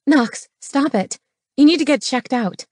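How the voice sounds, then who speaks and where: High, feisty young romance heroine, voiced by a woman. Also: expressive, a little slow, a little mellow, no echo, studio quality. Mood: alarmed, scolding. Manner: exclaiming, insistent. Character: feisty, strong young woman, alarmed love interest. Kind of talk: paranormal romance audiobook character.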